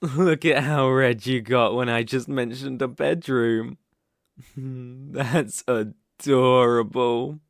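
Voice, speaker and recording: A man's voice: mid-range voice, fairly even intonation, takes it slowly, creaky, dry recording. Man acting a cocky British college bully, close-up. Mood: amused teasing. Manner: teasing, condescending, swaggering. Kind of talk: ASMR roleplay acting.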